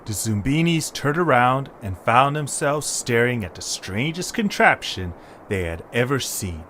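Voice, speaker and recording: A man's voice: mid-range voice, sing-song, measured pace, a bit bright. A man reading scripted storybook narration as a narrator. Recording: faint background noise.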